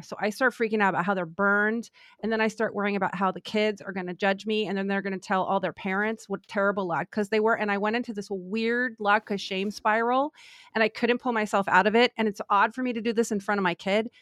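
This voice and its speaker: A woman's voice: mid-range voice, fairly even intonation, fairly quick, fairly bright tone, dry recording, studio quality. A woman telling a rambling, self-deprecating story in a casual podcast chat. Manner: chatty, self-deprecating, many fillers. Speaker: podcast co-host, screenwriter.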